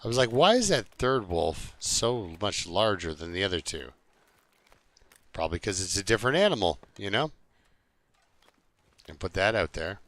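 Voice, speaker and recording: A man's voice: low voice, big pitch swings, moderate pace, pauses often, fairly bright tone, dry recording. A man live streaming a video game, chatting casually and jokingly to his viewers in an easygoing, rambling way.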